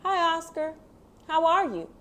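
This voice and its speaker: A woman voicing a caring, earnest young best friend from a picture book, with expressive delivery. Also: very high-pitched, measured pace, voice pushed up, full character voice. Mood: gentle, friendly.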